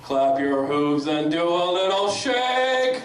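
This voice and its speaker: A man's voice: high-pitched, very animated, slow, music in the background, exaggerated voice. A man reading the part of a sweet, caring pony princess in a comedic live dramatic reading. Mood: sweet, playful. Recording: echoey convention room, distant mic, crowd.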